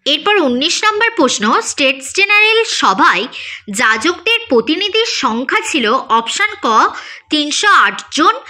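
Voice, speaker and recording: A woman's voice: high-pitched, very animated, normal speed, sharp tone. A woman teacher reading out quiz questions and options in a methodical, scripted lesson style with a sing-song listing intonation. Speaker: teacher presenting exam questions.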